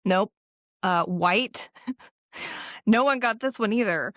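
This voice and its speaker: A young woman co-hosting a podcast, telling a story in an animated, casual way with laughs. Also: mid-range voice, slow pace, no echo, studio quality. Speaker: podcast co-host. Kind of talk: casual chat.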